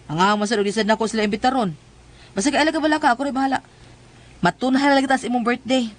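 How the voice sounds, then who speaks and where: A woman's voice: fairly high pitch, fast talker, close to an everyday voice. A woman playing a tough, brash tomboyish wife with blunt, slangy, swaggering talk in a comedic radio drama. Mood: brash, insistent.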